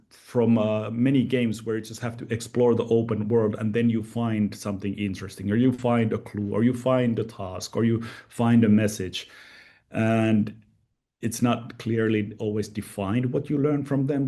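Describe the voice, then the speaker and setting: A man's voice: lowish voice, mellow. A man presenting at an online conference, thoughtful and prone to restarts.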